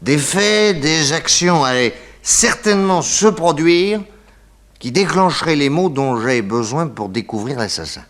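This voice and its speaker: An actor playing a cocky, excitable male private detective in a 1960s French radio comedy serial, theatrical and comically hesitant as he recounts his case. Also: fairly high pitch, a little slow. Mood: explanatory, boastful.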